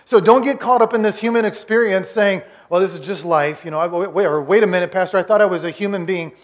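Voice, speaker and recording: High-voiced pastor in his forties. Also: quick pace. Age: 40s. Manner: conversational, repeats words, puts on quoted voices. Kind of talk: sermon recap.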